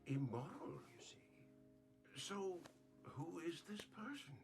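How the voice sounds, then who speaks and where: An actor playing a gossipy, prying priest in a confessional, in a film comedy-drama. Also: male voice, high-pitched, sing-song, slow pace, music in the background, heavily acted. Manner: prying, insinuating. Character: nosy, gossipy male priest hearing confession.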